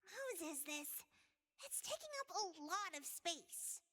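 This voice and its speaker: A woman voicing an excitable, nosy, childlike girl in an anime-style game, playful and chirpy. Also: very high-pitched, slow pace, heavily acted. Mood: curious. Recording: reverberant hall-like sound.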